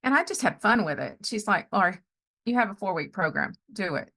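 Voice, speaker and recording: Bright-voiced chatty middle-aged woman. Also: mid-range voice, a little fast. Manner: chatty and animated, often acting out reported dialogue. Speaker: podcast host and life coach. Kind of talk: casual conversational storytelling with faith testimony.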